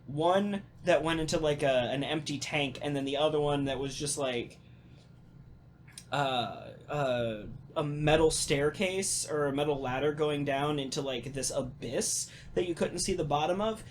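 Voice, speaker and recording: A man chatting casually as a podcast host, telling stories in an animated, halting way with casual swearing. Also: fairly high pitch, a little hiss or hum. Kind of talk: casual podcast chat and storytelling.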